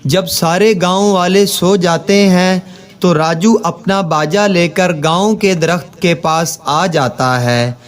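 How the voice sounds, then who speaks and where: High-pitched, slow male children's story narrator.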